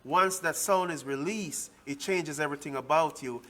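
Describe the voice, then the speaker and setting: A Jamaican man with a Jamaican accent co-hosts as a minister and keeps affirming his partner. His voice sits a little high for a man. When he tells his own story, he speaks earnestly. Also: normal speed, a bit bright. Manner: affirming, frequent agreement. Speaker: co-host, minister. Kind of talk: casual chat.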